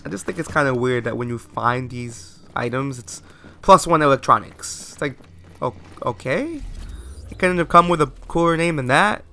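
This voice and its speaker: A man's voice: mid-range voice, expressive, moderate pace, vocal fry, pushed and bright. A man giving post-recorded commentary over gameplay, chatty and rambling, often asking viewers questions. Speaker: let's play host.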